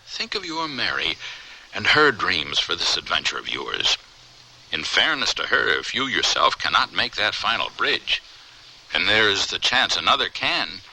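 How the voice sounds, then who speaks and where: An earnest colleague in a radio drama. His voice is low and very forceful, swinging widely as he pleads with theatrical urgency. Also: creaky, slightly rough, full character voice, rough recording. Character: earnest, persuasive male colleague of a troubled scientist. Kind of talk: American radio drama acting.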